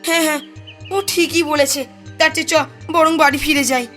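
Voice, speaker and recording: A woman's voice: sits high, fairly even intonation, fairly quick, a bit bright, soft background music. A woman voicing a sensible, cautioning village child in a cartoon, with a coaxing, warning manner. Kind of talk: Bengali cartoon dubbing.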